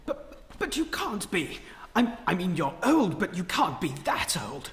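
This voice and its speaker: A woman's voice: fairly low pitch, very animated, unhurried, a bit bright, faint music behind. A woman playing an alarmed, stammering intruder in a live horror radio play. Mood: frightened. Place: live in an echoing anatomy theatre. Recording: background noise, live theatre.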